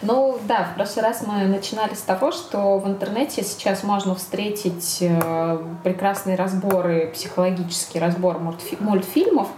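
Mellow woman in an echoey room. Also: mid-range voice, steady tone, moderate pace, few pauses. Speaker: podcast co-host. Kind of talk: casual explanatory discussion. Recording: roomy, echoey, faint background noise.

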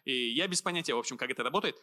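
A man in his thirties from Dagestan, a writer and guest, speaking earnestly and thoughtfully in an interview, pausing with fillers. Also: medium pitch, lively intonation, bright, forceful tone. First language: Avar. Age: 30s. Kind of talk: reflective interview conversation.

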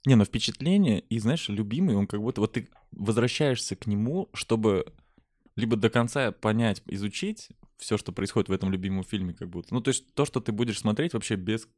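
A man hosting a casual podcast chat, phrasing his thoughts in a measured, hesitant way. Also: on the low side, a little mellow, dry recording, clean studio sound. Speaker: podcast host.

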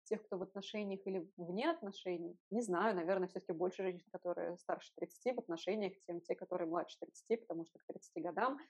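A woman's voice: fairly low pitch, fast, hardly any pauses, husky. A woman co-hosting a podcast in casual discussion, arguing her opinions in a reasoned way with rhetorical questions. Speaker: podcast co-host.

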